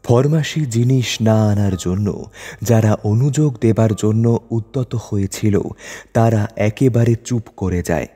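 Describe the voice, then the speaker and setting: A man's voice: on the low side, warm tone, breathy edge. A man narrating a literary short story from a script. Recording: long reverb over music bed.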